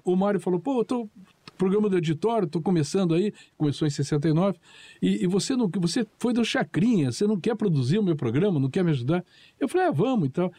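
A man's voice: high-pitched, warm tone, dry recording. An older man from Paraná, a former TV producer recalling old anecdotes and acting out the dialogue. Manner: storytelling, acts out quoted dialogue. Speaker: guest, former TV show producer. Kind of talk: anecdotal recollection.

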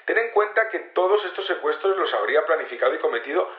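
An actor playing a patient but hesitant security investigator being interviewed in a naturalistic audio drama, explaining things colloquially with repetitions and tag questions. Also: male voice, a little high, expressive, fast talker, reverberant, narrow, tinny audio, lightly acted. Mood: explanatory, guarded. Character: male security investigator, patient, hesitant, self-assured in his theory.

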